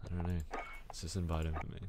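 Young guy with a very low voice, murmuring sheepishly into his headset. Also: monotone, fast, dark tone. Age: 20s. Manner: mumbling, awkward, laughs nervously. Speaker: gamer. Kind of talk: casual voice chat.

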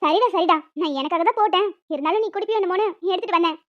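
A woman's voice: very high-pitched, rapid-fire, muffled recording. A woman voicing a nagging, disapproving older mother-in-law who complains and scolds in a cartoon family drama.

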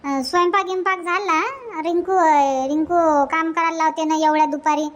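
A woman's voice: very high voice, steady tone, normal speed, muffled recording. A woman voicing a sociable village woman in a comedy cartoon, chatting in a Varhadi Marathi accent. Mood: chatty. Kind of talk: cartoon comedy dialogue in rural dialect.

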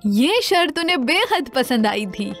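A woman narrating a scripted fairy tale for children in an expressive, dramatized way. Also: high voice, soft background music. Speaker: storyteller.

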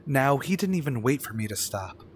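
A man's voice: mid-range voice, big pitch swings, moderate pace, slight background noise, lightly acted. An actor playing a haunted, unravelling young man in an old-time radio suspense drama, with a brooding, dramatic delivery. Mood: haunted, dread.

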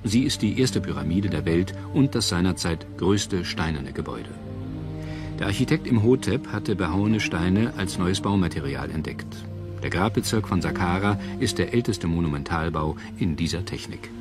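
A man narrates a documentary in a low-pitched voice. His scripted delivery is measured and formal, and music plays clearly behind him. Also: lively intonation. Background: background music.

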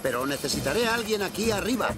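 Cartoon rescue pilot: capable, easygoing, animated delivery over music. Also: male voice, high voice, fast. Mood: calm, businesslike. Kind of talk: cartoon dub acting. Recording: music behind the voice.

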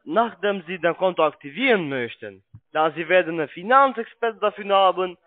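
Guy doing pushy phone sales, kinda high voice, broken grammar, thin phone line. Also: expressive. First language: not German. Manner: halting, insistent, broken grammar. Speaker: call centre sales agent. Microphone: telephone. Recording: tinny narrowband phone line.

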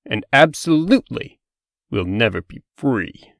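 A man's voice: mid-range voice, big pitch swings, measured pace, creaky, a bit bright, no echo, clean studio sound, full character voice. A man voicing a scheming, persuasive revolutionary farm pig in a comic dub, earnest and conspiratorial. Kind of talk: comic dub character acting.

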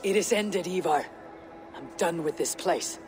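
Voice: medium pitch, a little slow, music in the background, lightly acted. An actor playing a stern, weary Viking warrior in a video game, blunt and grimly restrained. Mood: weary refusal. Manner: terse, restrained, grim. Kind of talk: dramatic video game acting.

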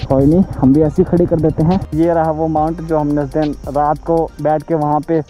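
A man's voice: on the high side, little pitch movement, moderate pace, mellow, faint music behind. A young adult man chats casually and informally as a motorcycle vlogger, mixing in English words. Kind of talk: casual chat while riding. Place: outdoors, riding a motorcycle on city roads. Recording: helmet mic with clear road noise. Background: vehicle and road noise.

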